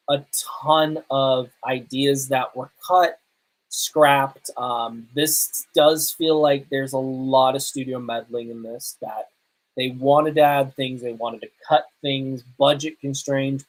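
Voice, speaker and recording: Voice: mid-range voice, steady tone, takes it slowly, low-quality recording. A podcast host talking casually through a movie review as an opinionated, self-confessed fan.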